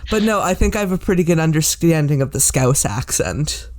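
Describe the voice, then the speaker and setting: A man's voice: fairly high pitch, expressive, brisk, background noise. Laid-back young male podcast host. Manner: casual, self-deprecating, uses like and um. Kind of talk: casual podcast interview.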